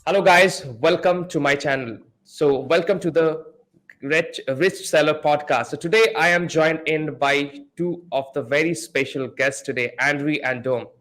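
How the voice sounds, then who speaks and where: A man's voice: fairly high pitch, measured pace, fairly bright tone. A man hosting a podcast, a non-native English speaker, introducing guests in an eager way with frequent repeats. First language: not English. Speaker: podcast host.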